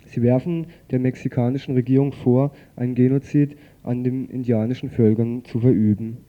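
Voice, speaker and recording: A man's voice: mid-range voice, little pitch movement, moderate pace, narrow, tinny audio. A man reading a scripted radio news report as presenter.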